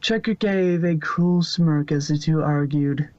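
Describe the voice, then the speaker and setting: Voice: mid-range voice, a little slow, darkish tone, no echo. A narrator reading a fan story aloud in a plain way, often stumbling and restarting words. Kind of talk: amateur fanfic reading. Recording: dull lo-fi home recording.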